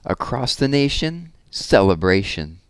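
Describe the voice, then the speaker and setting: A man reading a children's story aloud as host, with an expressive, deliberate storyteller delivery. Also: medium pitch, clean tone, no room sound. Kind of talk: expressive children's picture book read-aloud with informal asides.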